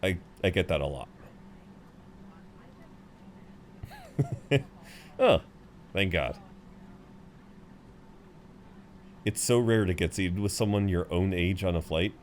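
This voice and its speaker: A man's voice: low voice, moderate pace, a little hiss or hum, natural voice. A man playing a chatty, good-humoured frequent flyer who rambles kindly to a seatmate, in an intimate roleplay audio. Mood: friendly, rambling. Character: chatty, friendly tall male frequent flyer comforting seatmate. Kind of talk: intimate roleplay audio acting, one side of conversation.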